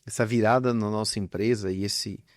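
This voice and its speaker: A man's voice: on the low side, fairly even intonation, a little fast, no echo, clean studio sound. A man, a business founder, talking earnestly and reflectively as an interview guest, often restarting his phrases. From southern Brazil. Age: adult with young children. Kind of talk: casual interview conversation.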